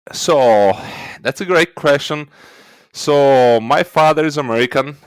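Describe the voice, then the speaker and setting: A man's voice: on the high side, unhurried, clean tone, no echo, poor audio. A man with a thick Italian accent, a guest in an interview, explaining things step by step with frequent "uh" fillers. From Italy. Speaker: guest, records indexing team lead. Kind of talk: conversational interview.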